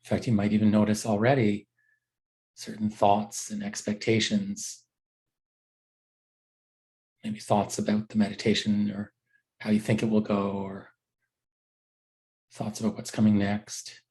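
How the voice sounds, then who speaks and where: A man teaching a guided body scan meditation, giving gentle, unhurried instructions with frequent pauses. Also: on the low side, completely flat delivery, rough recording.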